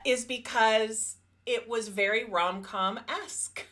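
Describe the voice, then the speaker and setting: A woman's voice: fairly high pitch, fairly even intonation, takes it slowly, sharp tone. A woman co-hosting a casual book chat in a playful, teasing manner. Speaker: podcast co-host reviewing romance books.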